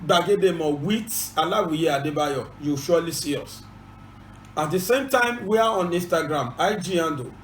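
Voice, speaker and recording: A man's voice: sits high, echoey room, a little hiss or hum. A man with a Yoruba accent and Yoruba as his first language, hosting an informative channel and switching between Yoruba and English in an earnest, repetitive, formal way. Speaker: channel host and presenter. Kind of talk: informative bilingual presentation.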